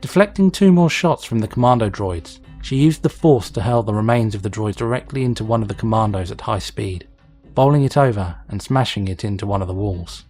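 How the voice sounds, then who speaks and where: Male narrator for dramatic fan fiction, slightly low voice, scripted action prose over faint music. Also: fairly quick, a little mellow.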